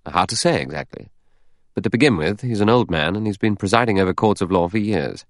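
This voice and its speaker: A man's voice: lowish voice, expressive, brisk, dry recording, studio quality. A man playing a cool, cynical, self-interested adventurer in an audiobook, nonchalant and wry. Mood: speculative. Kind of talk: audiobook character dialogue.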